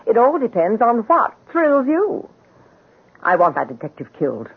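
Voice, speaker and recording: An actress playing a cruel, power-hungry wife in a 1970s radio drama, cool, commanding and taunting. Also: female voice, a little high, huge pitch swings, moderate pace, husky, exaggerated voice. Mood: cold, imperious. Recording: muffled, phone-like old radio recording.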